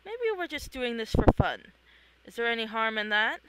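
A performer voicing a calm, playful woman who wanders dreams in a comic read-along. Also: mid-range voice, normal speed, clear, resonant tone, low-quality recording. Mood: playful, urgent.

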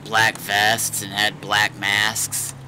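A man's voice: on the low side, flat delivery, very slow, background noise. A man talking casually in video game roleplay, in short, slightly hesitant phrases. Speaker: gamer, roleplay companion.

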